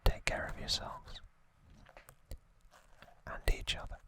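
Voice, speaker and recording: Whispering man, soft ASMR ramble. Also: slow. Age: late 20s or older. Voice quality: breathy, unvoiced whisper. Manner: rambling, hesitant, self-deprecating. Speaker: ASMR channel host. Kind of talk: whispered ASMR unboxing chat.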